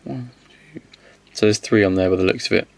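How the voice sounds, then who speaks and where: A man with a slight cold-hoarse voice, casually reviewing miniatures, rambling and correcting himself as he goes. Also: lowish voice, fairly quick. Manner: rambling, self-correcting, counts aloud.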